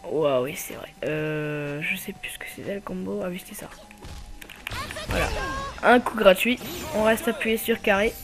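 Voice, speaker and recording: A man's voice: high-pitched, music in the background. Hesitant male gamer with a cold. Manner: hesitant, many filler pauses. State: slightly stuffy with a cold. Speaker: gaming channel host. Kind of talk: casual gameplay commentary.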